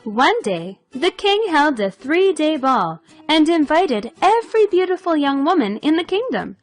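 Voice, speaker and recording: A woman storyteller with a high, very lively voice reads a children's fairy tale. She narrates expressively and takes her time, with music playing behind her. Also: unhurried, no echo.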